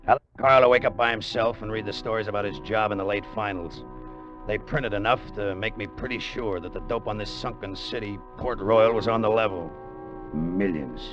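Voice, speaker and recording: An actor playing a brash, pushy gambler turned treasure hunter in slangy, hard-boiled 1950s radio drama style. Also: male voice, fairly low pitch, normal speed, lightly acted. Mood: wry, hard-boiled. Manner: slangy, insistent, tough-guy talk. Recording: dull vintage radio recording with loud music.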